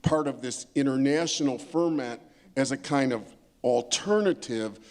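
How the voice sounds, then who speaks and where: A man's voice: medium pitch, moderate pace, a little mellow. A middle-aged man speaking as an expert panelist in an emphatic, lecturing way, repeating phrases as he builds his point. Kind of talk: panel discussion, thoughtful commentary.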